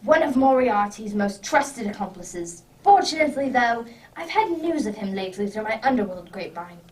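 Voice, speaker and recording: A woman playing a brisk, commanding, inquisitive male detective in an amateur radio drama. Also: a little high, animated, distant mic, slight background noise, lightly acted, low-quality recording. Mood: decisive.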